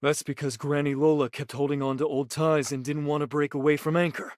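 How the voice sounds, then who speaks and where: A man's voice: fairly high pitch, flat delivery, brisk, a little mellow, dry recording, clean studio sound, natural voice. A man voicing a loyal, earnest, hot-headed young male pirate in scripted anime game dialogue. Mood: earnest, indignant.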